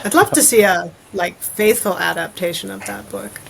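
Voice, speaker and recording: A woman's voice: medium pitch, normal speed, creaky, breathy edge. A woman chatting casually as a guest author on a podcast about adventure fiction.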